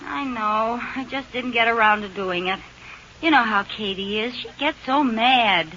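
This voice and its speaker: An actress playing a scatterbrained, demanding but timid American housewife in 1940s radio comedy, theatrical and exasperated, on an old recording. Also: female voice, on the high side, a little slow, sharp tone, dull audio, slight background noise. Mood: pleading.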